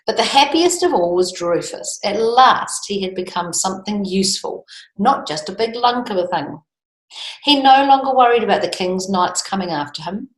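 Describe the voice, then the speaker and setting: A woman reads a children's story aloud in a medium-pitched voice. She leans into words with lilting, expressive emphasis. The room around her is noticeably echoey. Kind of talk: storybook read-aloud with chatty asides.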